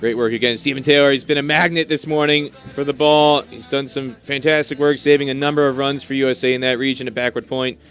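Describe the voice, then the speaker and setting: A man's voice: mid-range voice, fairly even intonation, brisk, few pauses, fairly bright tone, faint music behind. A man doing live cricket commentary in an enthusiastic, talkative way, explaining things as he goes. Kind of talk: live sports commentary with chatty asides.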